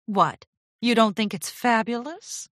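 A woman's voice: a little high, measured pace, a bit bright, no room sound, studio quality, voice pushed up. A woman voicing a glamorous, self-assured female pony fashion designer in a storybook, with a grand, affected, dramatic manner. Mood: showy, proud.

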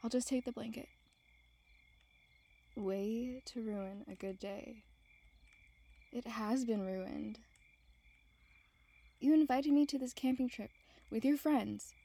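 A woman playing a grumpy, teasing young woman on a camping trip in an intimate audio roleplay, breathy and sarcastic. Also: a little high, takes it slowly, long pauses, mellow, heavily acted. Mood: annoyed, sarcastic.

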